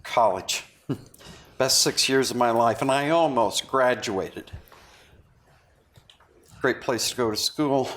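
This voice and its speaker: A man's voice: medium pitch, slow, long pauses, a bit bright. A man playing a genial, hesitant old college friend in a drama table read. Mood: nostalgic, breezy.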